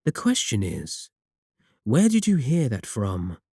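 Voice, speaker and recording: A man playing a courteous, manipulative warlock shopkeeper in a roleplay audio, coaxing and flattering his guest with polite, unhurried talk. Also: medium pitch, husky, dry recording, studio quality, exaggerated voice. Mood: courteous, welcoming. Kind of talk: second-person roleplay audio acting.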